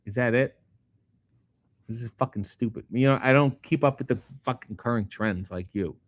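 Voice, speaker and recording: A middle-aged man, a comedian host, reading viewer comments aloud and answering them in a casual, profane, deadpan way. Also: mid-range voice, normal speed, dry recording. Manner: profane, sardonic, deadpan asides. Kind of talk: casual chat answering live chat questions.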